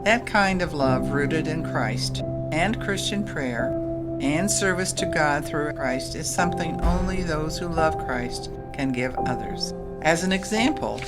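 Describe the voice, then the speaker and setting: A woman's voice: low-pitched, animated, measured pace. A woman playing a kindly, devout storyteller who earnestly teaches children in an audio drama. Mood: earnest, instructive. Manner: earnest, instructive, addresses listeners by name. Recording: music playing behind the voice.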